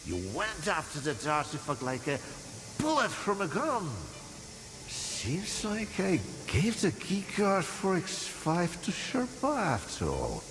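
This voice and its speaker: An actor playing a boozy, sardonic older scientist in a video game, theatrical and philosophising, with a husky, gravelly voice. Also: male voice, high-pitched, huge pitch swings, slow, big-room sound, background noise, exaggerated voice. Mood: sardonic, rambling. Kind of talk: video game cutscene acting.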